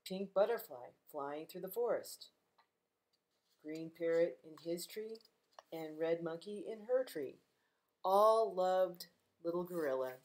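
A middle-aged woman reading a picture book aloud to young children, gentle and expressive, as a librarian at home. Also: fairly low pitch, takes it slowly, rough recording. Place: living room at home, indoors.

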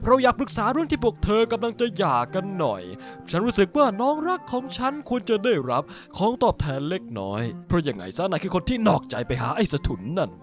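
A man voicing a haughty, stern aristocratic demon brother in an animated comedy dub, formal and scolding. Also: high voice, fast talker, few pauses, faint music behind.

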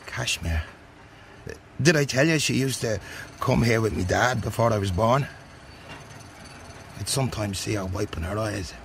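An actor playing a grieving, hesitant Irish working man in a radio drama, speaking haltingly with an Irish accent. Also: on the low side, gravelly, a little hiss or hum. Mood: wistful. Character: Martin, grieving, hesitant working son of a dead mother. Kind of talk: naturalistic radio drama acting.

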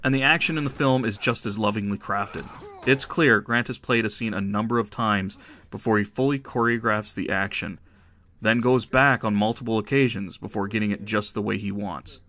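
Guy narrating a scripted review video, lowish voice but crisp and forward, measured and analytical. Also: sharp tone.

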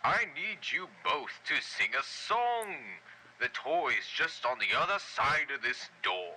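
A man's voice: a little high, sing-song, measured pace, pushed and bright, exaggerated voice, rough recording. A man playing a falsely cheerful, sinister toymaker puppet in an analog horror cartoon, coaxing children in a theatrical, wheedling way.

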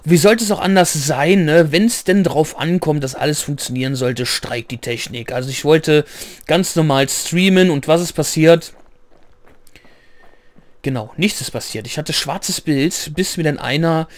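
A man with a slightly hoarse voice giving rambling, chatty commentary while playing a game, clearing his throat now and then. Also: fairly high pitch, a little slow, no echo. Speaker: let's play gamer. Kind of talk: casual gameplay commentary.

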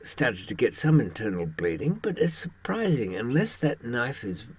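A man in his seventies giving a rambling monologue to camera with wry, dark humour, often hesitating as he searches for words, in a husky voice. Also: medium pitch, very animated, moderate pace. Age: 70s. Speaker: host recounting experiences from a criminal past.

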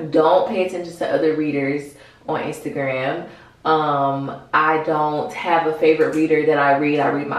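Young american woman vlogging casually, low voice, super echoey bathroom, slangy and blunt. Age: 20s. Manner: informal, slangy, frank, sprinkled with swearing. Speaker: vlogger, small business owner. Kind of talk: casual advice vlog to camera. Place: bathroom, indoors. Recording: very echoey small tiled room.

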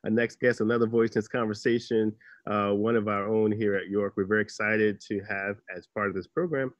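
A man moderating a panel over a video call, introducing the next guest in a measured way with many fillers. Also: on the low side, steady tone, no room sound, dull audio.

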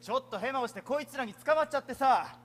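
An actor playing a cocky, daring young undercover lawyer on stage, boyish, self-assured and dramatic. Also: male voice, very high-pitched, fast, a bit bright. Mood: brisk, eager. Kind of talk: theatrical stage acting. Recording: theatre stage recording with music.

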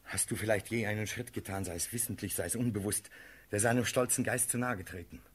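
Husky, grave, loyal friend. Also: male voice, lowish voice, steady tone. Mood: probing. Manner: earnest, measured, urgent. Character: grave, anxious loyal male friend of the prince. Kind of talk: classical verse radio-play acting.

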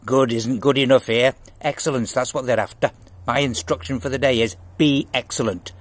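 A man voicing a brisk father giving emphatic instructions in a storybook reading, with a husky voice. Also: mid-range voice, steady tone, no room sound, natural voice.

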